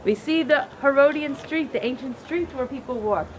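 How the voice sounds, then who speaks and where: A woman's voice: high voice, slight background noise, rough recording. American woman rabbi guiding a tour. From Cleveland, Ohio. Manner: earnest, explanatory, with fillers and pauses. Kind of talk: guided tour talk. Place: outdoors at an archaeological site.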